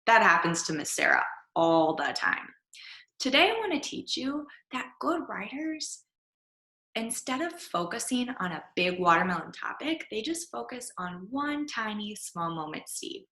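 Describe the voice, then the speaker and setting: A woman working as an elementary teacher, explaining a lesson to children in an expressive, simple way with examples. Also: fairly high pitch, unhurried, roomy sound.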